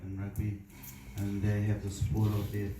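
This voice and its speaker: A man with a sore throat giving a rambling, relaxed thank-you speech as team organiser. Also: on the low side, moderate pace. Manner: rambling and relaxed with many fillers. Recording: distant echoey noisy room recording.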